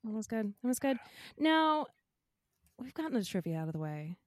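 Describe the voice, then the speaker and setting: A woman's voice: on the high side, big pitch swings, normal speed, dry recording, studio quality. A woman hosting a podcast, chatting in a bubbly way and laughing often. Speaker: podcast host. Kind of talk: casual chat.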